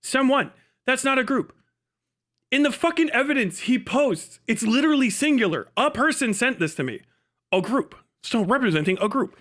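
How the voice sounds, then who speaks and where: A man's voice: very high-pitched, expressive, normal speed, a bit bright. A man ranting on a casual livestream, mocking and incredulous, swearing heavily as he reacts to a video.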